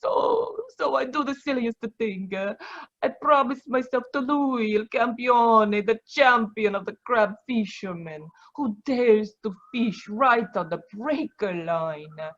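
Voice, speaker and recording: An actress playing a bitter, proud, anguished Sicilian woman in a 1940s-style radio drama, halting and emotional, over a dull video call. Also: mid-range voice. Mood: bitter recounting.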